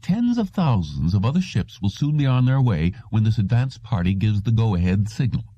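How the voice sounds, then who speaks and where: A man's voice: lowish voice, sing-song, warm tone, no echo. A man voicing a stern, formal, patient elderly psychiatrist in an audiobook. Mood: patient, explaining. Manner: formal, wordy, deliberate. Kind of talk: audiobook character reading. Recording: dull, muffled lo-fi audio.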